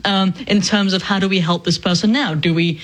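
A woman co-hosting a news show with a lower female voice, reading headlines and chatting with light laughs. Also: fairly even intonation, fairly quick. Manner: frequent light laughs, conversational. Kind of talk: news reading and casual commentary.

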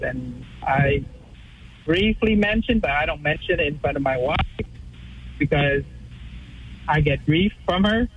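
A man in his thirties from Vietnam, calling in to ask for advice, speaking haltingly with many fillers and restarts. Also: a little high, breathy edge, muffled recording. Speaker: caller. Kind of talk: call-in advice question.

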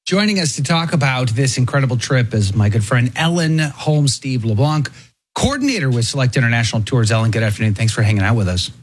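A man's voice: medium pitch, lively intonation, quick pace. A man hosting a radio talk show, moving between upbeat introductions and casual interview chat.

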